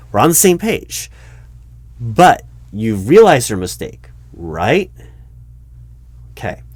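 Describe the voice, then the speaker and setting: A man playing a baffled, exasperated recruiting manager in a one-sided ASMR job interview roleplay, speaking aloud with halting, repeated words. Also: lowish voice, no echo. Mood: puzzled, probing. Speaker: roleplay performer.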